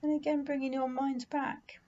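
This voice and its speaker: A woman leading a guided meditation in a gentle, soothing, unhurried manner with pauses. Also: high voice, flat delivery, muffled recording. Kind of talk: guided body scan meditation.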